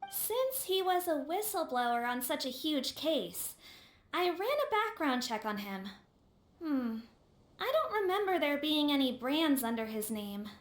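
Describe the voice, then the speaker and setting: A woman playing a diligent, inquisitive investigating officer in a video game, expressive and pondering as she speaks. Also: high-pitched, a little slow, roomy sound. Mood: recalling.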